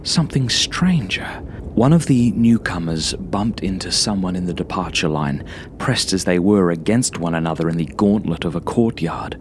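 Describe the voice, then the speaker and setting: A man reading a first-person horror story as an audiobook narrator, in a dramatic, suspenseful manner with hushed asides. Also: low voice, expressive, normal speed, slight background noise.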